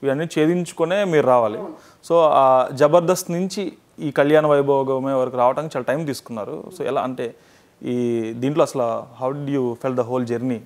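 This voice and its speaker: A man's voice: mid-range voice, a little fast, a little mellow. A man with a Telugu Indian accent asking questions as the interviewer in a conversational film interview.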